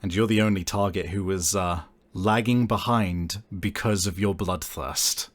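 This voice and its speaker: A man narrating and ruling as game master in a tabletop role-playing game, with calm, measured rulings. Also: low voice.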